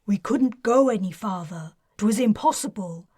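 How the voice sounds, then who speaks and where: A woman's voice: mid-range voice, lively intonation, normal speed, warm tone, slightly rough, no echo, studio quality. A woman voicing an earnest, guilt-ridden army major.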